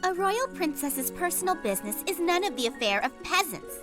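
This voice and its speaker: A woman's voice: extremely high pitch, sing-song, a little fast, music in the background. A woman playing a haughty, spirited young princess girl in a video game, with theatrical delivery. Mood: haughty, indignant.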